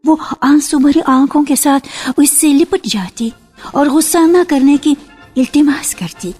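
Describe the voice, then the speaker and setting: A woman narrating a literary story for radio in a measured storytelling manner. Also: high-pitched, warm tone. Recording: reverberant archival radio recording with music.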